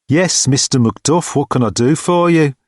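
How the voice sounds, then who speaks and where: A man voicing a large, unflappable college porter in an audiobook, dry, sceptical and deadpan. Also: a little high, normal speed, dry recording, voice pushed up, natural voice. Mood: deadpan, formal. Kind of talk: audiobook character dialogue.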